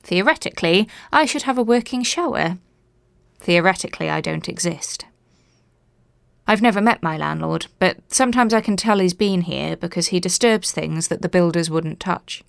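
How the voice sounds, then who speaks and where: A woman's voice: fairly low pitch, moderate pace, creaky, no room sound, clean studio sound. A woman narrating a literary ghost story as a scripted reading.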